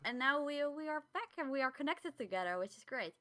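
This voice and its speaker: A woman's voice: sits high, animated, fairly quick, sharp tone. A woman co-hosting a podcast in a casual, conversational style. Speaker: podcast co-host.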